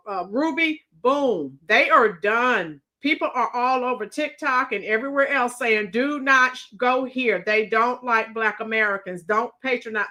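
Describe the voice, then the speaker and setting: Bright high-voiced woman, emphatic live commentary. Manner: emphatic, exclamatory, addresses viewers directly. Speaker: livestream host reacting to news and viewer comments. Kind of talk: casual live reaction commentary.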